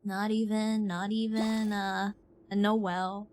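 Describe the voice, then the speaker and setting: A woman live streamer chatting casually as she plays a game, playful, expressive and prone to exclamations. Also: medium pitch, takes it slowly. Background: game music.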